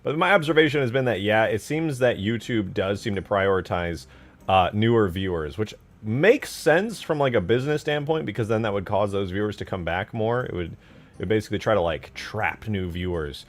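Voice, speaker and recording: A man's voice: lowish voice, moderate pace. A man hosting a livestream, chatting casually and conversationally to his viewers. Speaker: livestream host.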